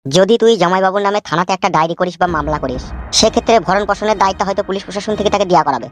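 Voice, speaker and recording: A man's voice: high voice, racing, soft background music, natural voice. A man voicing a scheming know-it-all young boss in a comedy cartoon, explaining and persuading.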